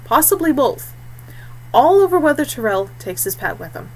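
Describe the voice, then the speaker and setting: A woman voicing a wary, pragmatic young male warrior in an audiobook, brisk and matter-of-fact. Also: sits high, sing-song, slight background noise, pitched above the performer's own voice, low-quality recording. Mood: coolly threatening.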